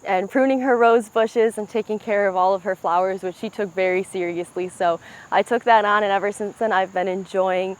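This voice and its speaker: A woman's voice: mid-range voice, steady tone, moderate pace, poor audio. A young woman with a thick Midwestern accent, a rapper speaking candidly in a documentary interview and often restarting her words. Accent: Midwestern American. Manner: candid, reflective, self-correcting restarts. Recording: noticeable background noise.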